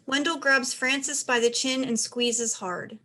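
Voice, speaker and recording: A woman serves as narrator of a play reading. Her voice is a little high, and she reads out scripted stage directions between the actors' parts. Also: steady tone, measured pace, bright, forceful tone.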